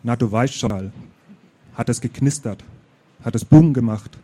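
Man reading pushy know-it-all friend, excited, bossy, higher-pitched, mellow. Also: normal speed, slight background noise, lightly acted. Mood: eager.

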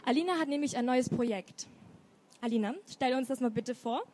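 Eine Frau, die als Moderatorin eine Autorin auf einer Buchmesse vorstellt und ihr Fragen stellt. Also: female voice, high voice, brisk, a little mellow, a little hiss or hum. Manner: reads intro, asks friendly questions. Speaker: event moderator. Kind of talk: book fair interview.

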